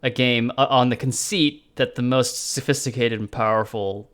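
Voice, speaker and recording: Rambling man with halting restarts. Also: mid-range voice, a bit bright. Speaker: game developer co-host. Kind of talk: casual chat during a dev stream.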